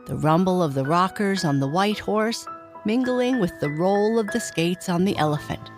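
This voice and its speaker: A woman narrating a children's bedtime story in an expressive, scripted storytelling style. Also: fairly low pitch, moderate pace. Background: music.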